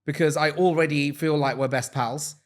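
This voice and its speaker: A young man with an English accent chats easily as a podcast co-host. He jokes at his own expense and keeps things light. His voice sits slightly higher than most men's. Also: steady tone, moderate pace. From England. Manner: chatty, self-deprecating, jokey. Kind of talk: casual chat.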